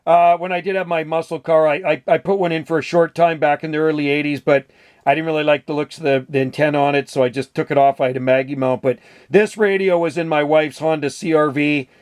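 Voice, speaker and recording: A man's voice: fairly high pitch, flat delivery, fast talker, clean tone. A man in his sixties or older having a casual CB radio chat, folksy and full of radio slang. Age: 60s or older. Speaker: radio hobbyist, channel host.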